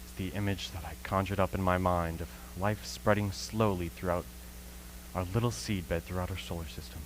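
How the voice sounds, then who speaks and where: A man acting a solemn scholar who survived an invasion, narrating deliberately in a radio play. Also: low-pitched, lightly acted, poor audio. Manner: solemn, deliberate, with dramatic emphasis. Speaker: actor in a school radio play. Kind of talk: dramatic radio play monologue. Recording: faint hum and noise, live stream.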